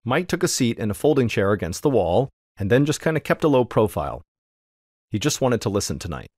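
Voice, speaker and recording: A man hosting a podcast, telling a true story in steady, suspenseful scripted narration. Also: medium pitch, fairly quick, no echo, clean studio sound.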